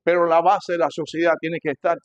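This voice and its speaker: An older man with a Cuban Spanish accent preaching to a congregation, emphatic and repeating phrases, swinging between loud and hushed delivery. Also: a little high, flat delivery, brisk, lo-fi sound. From Cuba. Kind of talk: sermon, teaching a church congregation.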